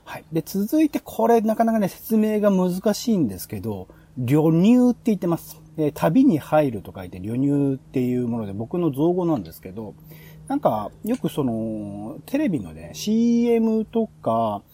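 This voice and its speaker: A man's voice: on the high side, big pitch swings, normal speed, warm tone, slightly rough. A man hosting a podcast, explaining ideas casually and thinking aloud in long, loosely linked sentences. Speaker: podcast host. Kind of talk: casual explanatory monologue pitching show ideas.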